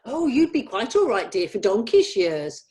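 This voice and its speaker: A woman's voice: on the high side, big pitch swings, normal speed, warm tone. A woman acting a genteel, fussy, chatty bookish lady in a comedy script read, politely old-fashioned in her phrasing. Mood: gossipy.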